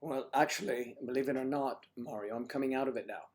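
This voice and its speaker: Hoarse older man joking with viewers. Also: medium pitch, fairly quick. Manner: teasing and flirty, often drops to a soft mutter. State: hoarse, losing his voice. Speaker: livestream host. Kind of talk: casual livestream chat with viewers.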